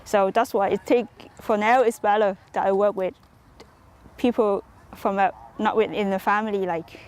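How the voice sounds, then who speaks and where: Halting woman searching for words. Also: mid-range voice, steady tone, darkish tone. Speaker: interviewee. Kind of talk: documentary interview answers.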